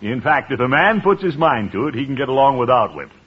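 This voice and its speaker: An actor playing a pompous, good-hearted middle-aged American uncle in an old-time radio comedy. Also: male voice, mid-range voice, sing-song, fast, slightly rough. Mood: self-assured. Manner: pompous, musing, theatrical. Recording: muffled old radio recording, background hiss.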